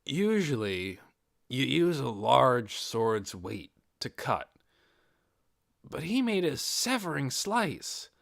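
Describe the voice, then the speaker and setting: A man's voice: mid-range voice, big pitch swings, slow, gravelly, a bit bright, no room sound, studio quality, full character voice. A man voicing an earnest, modest young male adventurer leader in an audiobook, explaining things carefully.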